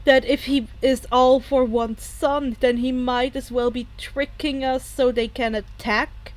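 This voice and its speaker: Woman acting a loud, distrustful male superhero. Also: high-pitched, fairly even intonation, measured pace. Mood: disbelieving. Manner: loud, indignant, incredulous.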